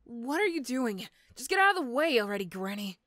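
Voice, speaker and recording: A woman playing an irritable, sarcastic female supervillain in a roleplay audio drama, snappy and condescending but gruffly caring. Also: a little high, big pitch swings, fairly quick, fairly bright tone, no room sound, studio quality. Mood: angry, exasperated.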